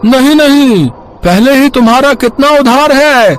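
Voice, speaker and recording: A man's voice: very high-pitched, unhurried, faint music behind. A man playing a grudging village grocer in a storybook tale, protesting as he talks. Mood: irritated.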